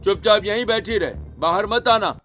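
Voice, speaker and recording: A man's voice: high-pitched, normal speed, a bit bright, soft background music. A man playing a stern middle-aged father in a cartoon story, with a commanding manner. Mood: angry. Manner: scolding, commanding. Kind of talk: Hindi cartoon story acting.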